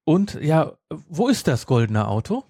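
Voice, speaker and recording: A man hosting a podcast in casual chat, with a halting, self-interrupting way of talking. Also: on the high side, sing-song, mellow, husky, no echo, studio quality. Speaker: podcast host. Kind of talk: casual chat, retelling a story.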